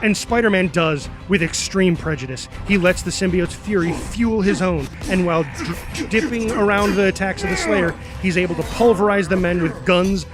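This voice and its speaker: High-voiced male action narrator over music. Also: moderate pace. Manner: punchy, present-tense delivery with sound-effect words. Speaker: narrator reading stage directions. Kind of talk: dramatic scripted narration of superhero action.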